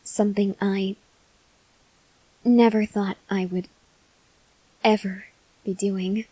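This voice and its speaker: A woman playing an earnest, desperate female vampire scientist in an ASMR roleplay, speaking haltingly in a breathy voice that drifts into whispers. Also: mid-range voice, flat delivery, warm tone, poor audio. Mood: hesitant, pleading. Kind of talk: ASMR roleplay, slow dramatic monologue to the listener.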